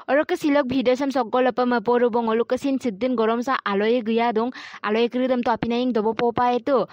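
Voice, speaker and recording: A woman's voice: on the high side, steady tone, extremely slow, darkish tone. A woman voicing a chatty village woman in an amateur cartoon. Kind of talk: amateur cartoon voice-over dialogue. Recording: muffled lo-fi sound with roomy echo.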